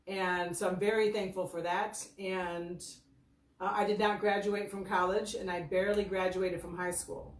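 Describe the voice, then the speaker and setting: A woman's voice: medium pitch, little pitch movement, normal speed. An American woman in her fifties chatting casually on a livestream, telling rambling, self-deprecating personal stories. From Michigan, United States. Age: 50s. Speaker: host of her birthday livestream. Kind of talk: casual livestream storytelling and question answering. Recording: roomy echo, distant from mic.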